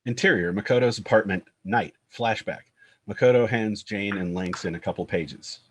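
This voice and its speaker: A man's voice: on the low side, moderate pace, a little hiss or hum. A man narrating screenplay stage directions at a table read.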